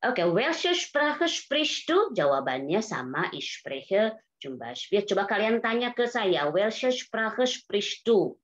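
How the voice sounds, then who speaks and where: Lively woman teaching over a video call. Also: medium pitch, lo-fi sound. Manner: slow, drawn-out model sentences for students. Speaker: online German teacher. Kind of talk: casual online class, mixing Indonesian and German.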